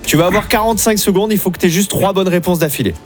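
A man's voice: high-pitched, soft background music. A man hosting an evening radio game show, upbeat and chatty, talking from the studio. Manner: upbeat, chatty, quick patter.

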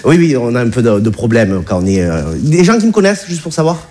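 A man's voice: medium pitch, big pitch swings, fast talker. A man from the south of France with a southern French accent, doing rambling, chatty stand-up on a comedy club stage. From Bouches-du-Rhône, southern France. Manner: chatty, rambling, repeats himself. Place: comedy club stage before a live audience. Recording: live club with loud crowd and noise.

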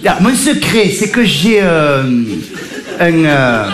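A man's voice: a little high, expressive, takes it slowly. A man playing a ditzy young woman contestant from Provence with a southern French accent, giggly and rambling. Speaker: game show contestant. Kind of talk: comedy sketch. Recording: echoey room with loud ambient noise.

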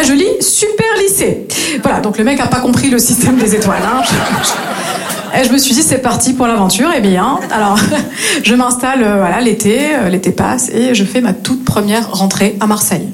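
A young woman from north-east France with a high voice, telling stories on a comedy stage. She is chatty and keeps turning to the crowd with little questions. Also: expressive, brisk. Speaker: stand-up comedian, former English teacher. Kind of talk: stand-up comedy set. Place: comedy stage before a live audience.

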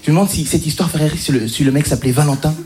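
A man's voice: on the high side, very fast, darkish tone, slight background noise. A man from the French suburbs doing stand-up, telling an exaggerated school story with hesitations and mock voices. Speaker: stand-up comedian. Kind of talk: stand-up routine.

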